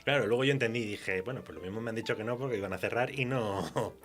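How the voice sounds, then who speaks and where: A man with an accent from Spain chatting casually as a guest writer in a radio interview, telling stories in an animated, self-mocking way. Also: fairly low pitch, fast talker, faint music behind. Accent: Spanish from Spain.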